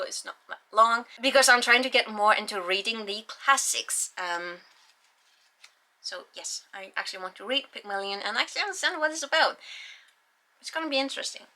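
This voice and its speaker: Young Icelandic woman, book vlogger, bright, chatty, rambling delivery. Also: mid-range voice. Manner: rambling, chatty, with frequent sighs and ums. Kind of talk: casual book haul chat.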